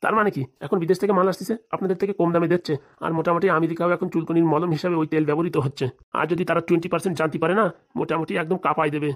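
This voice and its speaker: Woman playing low, rapid-talking male schemer. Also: fairly even intonation, lightly acted. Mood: boastful. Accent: rural Bengali. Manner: persuasive, boastful. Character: smooth-talking scheming male election fixer. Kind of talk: comedy cartoon dialogue.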